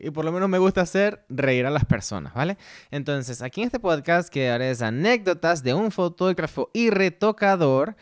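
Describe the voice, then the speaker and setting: A man's voice: medium pitch, no room sound, studio quality. A man in his thirties from Venezuela with a Venezuelan Spanish accent, hosting a casual solo podcast from a home set in a playful, self-mocking way and laughing often. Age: 30s. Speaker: podcast host.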